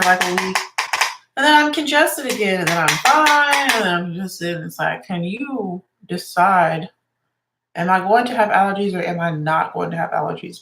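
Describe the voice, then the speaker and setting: A woman's voice: lowish voice, noisy background. A young woman with a congested, stuffy voice, chatting casually and rambling as she does her makeup. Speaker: beauty vlogger and teacher. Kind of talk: casual get-ready-with-me chat. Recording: echoey room.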